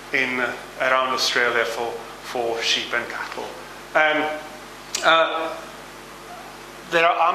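A man in his thirties with a Czech accent gives an informal talk as a university lecturer, halting with many fillers and restarts, on a handheld mic in a small hall. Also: mid-range voice, sharp tone, slight background noise. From Czech Republic. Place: small brick-walled hall with projector screen. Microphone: handheld microphone. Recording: roomy hall echo.

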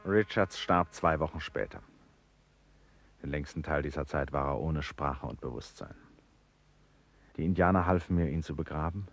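A man's voice: normal speed, creaky, long pauses. An actor playing an anxious, reflective traveller who recounts his jungle journey in a literary radio play. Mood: somber, reflective. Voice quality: very low-pitched.

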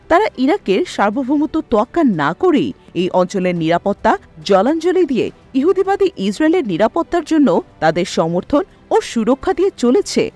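Animated, higher-pitched woman reading news. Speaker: news narrator. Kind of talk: scripted news narration. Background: background music.

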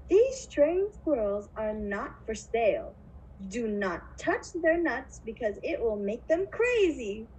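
A woman voicing an eccentric, chirpy male factory owner in a puppet show, theatrical and animated. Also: a little high, takes it slowly, a little hiss or hum, poor audio. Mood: showy.

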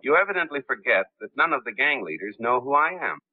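Suave gentleman detective, lively intonation, tinny vintage film sound. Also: medium pitch, quick pace, dry recording. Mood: assured. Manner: suave, breezy, self-assured. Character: suave confident wealthy male gentleman detective. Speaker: wealthy gentleman hero, Lamont Cranston. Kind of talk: 1930s film acting.

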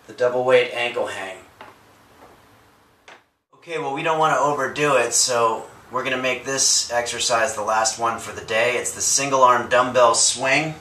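A young man delivers workout instructions in a bright, clear voice with a straight, earnest face, stumbling and restarting mid-sentence. He is recorded from across a small bedroom on the camera mic, with a roomy echo. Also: mid-range voice, normal speed, slight background noise. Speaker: host of a home workout parody. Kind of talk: deadpan fitness instruction. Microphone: camera mic from across the room. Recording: echoey room, voice a bit far from mic.

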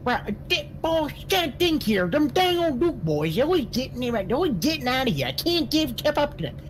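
A man playing an excitable, grumbling, bumbling Southern county sheriff in comic game roleplay, full of folksy griping. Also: very high-pitched, a little fast, a little hiss or hum. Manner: folksy griping, mild cussing.

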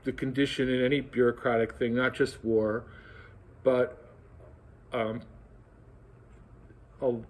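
A man in his sixties introducing and reading a novel aloud, halting with fillers when speaking freely, in a library. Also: mid-range voice, little pitch movement, darkish tone. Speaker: guest reader. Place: library, in front of bookshelves.

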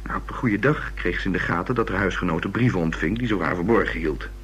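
A man's voice: sits low, fast, fairly bright tone, soft background music. An actor playing a hesitant, rambling young man who is a murder witness in a Dutch radio play. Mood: gossipy, reluctant. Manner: hesitant, rambling, restarts words. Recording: noisy old radio recording with hum.

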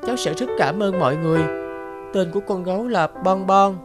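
A man's voice: high-pitched, fairly quick, over loud music, lightly acted. A man voicing an innocent little boy making a sincere plea in a cartoon. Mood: pleading. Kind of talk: cartoon voice-over of a written plea.

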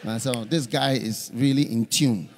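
A man's voice: medium pitch, normal speed, slight background noise. A middle-aged man preaching at a conference on a stage, telling stories with many repeated phrases, speaking into a handheld mic. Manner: animated storytelling with repeated phrases. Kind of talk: conference sermon. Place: large church stage with hall reverb. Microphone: handheld microphone. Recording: reverberant hall.

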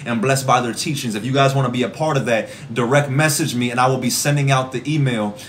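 A hoarse young man leads a fervent livestream prayer, his words rolling in steady repeated waves. He speaks at a middle pitch into a phone held at arm's length in a small room, with faint noise underneath. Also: fast talker. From Rockford, Illinois. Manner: fervent, rhythmic, repetitive prayer cadence. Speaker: evangelist. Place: small indoor room at home. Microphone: phone camera at arm's length.

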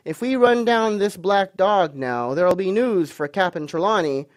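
Storybook tavern-keeper and old sea dog played by a male reader: hearty, shrewd, booming, raised pitch, salty talk. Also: sing-song, normal speed, darkish tone, dry recording. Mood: excited. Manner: booming, colloquial seafaring speech. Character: hearty loud one-legged sailor innkeeper, shrewd, blustering old buccaneer. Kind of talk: audiobook character acting.

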